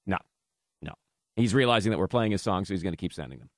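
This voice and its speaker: A man's voice: low voice, animated, fast, dry recording, studio quality. A man hosting a sports radio show with casual banter, joking in a wry, mock-serious way. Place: radio studio.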